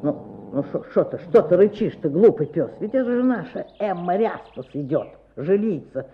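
A woman's voice: fairly low pitch, sing-song, normal speed, creaky, a little hiss or hum. A woman playing a spiteful, grumpy old landowner woman in a radio play, muttering and sneering. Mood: bitter, scornful. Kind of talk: radio play character monologue. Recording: muffled, narrow-band old radio recording.

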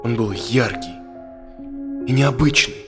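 An actor playing an uneasy therapy client in his thirties in an audio drama, speaking in a halting, reflective way. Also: mid-range voice, music in the background, lightly acted. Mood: hesitant, uneasy. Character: uneasy, tired thirty-five-year-old male therapy client.

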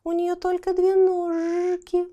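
A woman voicing a chorus of small, snooty girl beetles in a storybook, speaking high, drawn-out and mocking. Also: monotone, mellow, muffled recording.

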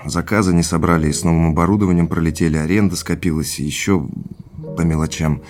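An actor playing a troubled, stubbornly independent businessman in naturalistic audio drama, speaking in a low-key, hesitant way. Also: fairly even intonation, quick pace, a little mellow, lightly acted. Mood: burdened, confessing.

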